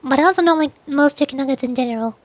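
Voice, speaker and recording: A high-voiced woman streaming a game and chatting casually. She speaks haltingly, circling back over her words, and the sound is close and dry. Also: no echo. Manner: hesitant, halting, repeats words. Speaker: VTuber streamer. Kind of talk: casual gaming stream chat.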